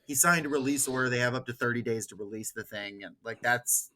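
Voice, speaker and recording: A man's voice: medium pitch, fairly quick, pushed and bright, slight background noise. A man chatting casually about politics as a podcast co-host, with a wry, ironic way of talking.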